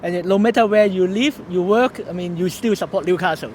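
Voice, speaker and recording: A man from Hong Kong living in Singapore, a fan club member, speaking in a friendly, halting way. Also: sits high, slight background noise.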